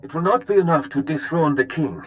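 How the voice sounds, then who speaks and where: An actor playing a formal, courteous and idealistic count in video game dialogue. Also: male voice, on the high side, animated, a little fast, natural voice. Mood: grave, persuasive.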